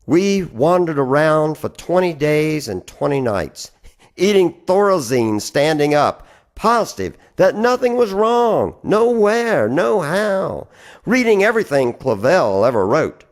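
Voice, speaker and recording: Slow, expressive man reciting poetry. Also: fairly high pitch. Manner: deliberate phrasing with theatrical emphasis. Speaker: reader of poetry. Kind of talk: dramatic spoken-word poetry recitation.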